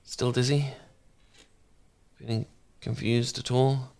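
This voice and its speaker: A man acting as a tender, bashful housemate in an ASMR romance drama. He has a mid-pitched voice and talks softly and intimately, with a halting, smitten manner. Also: level tone, gravelly, clean tone. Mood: awkward, concerned. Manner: halting, gentle, intimate, flustered.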